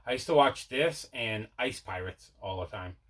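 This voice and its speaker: A man's voice: fairly low pitch, lively intonation, moderate pace, a bit bright. A man hosting a casual mail-day video about his movie collection, talking in a repetitive, enthusiastic way.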